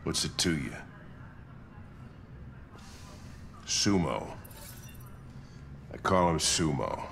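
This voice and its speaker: An actor playing a gruff, bitter middle-aged police lieutenant in a video game, with a gravelly, curt, hostile delivery. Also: male voice, a bass voice, animated, slow, a bit bright, slight background noise, full character voice. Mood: curt, grudging.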